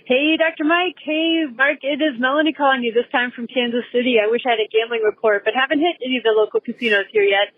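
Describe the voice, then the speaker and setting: A woman's voice: high-pitched, fairly even intonation, fast talker, few pauses, dry recording, phone-quality sound. A woman caller leaving a casual voicemail over the phone. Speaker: podcast caller.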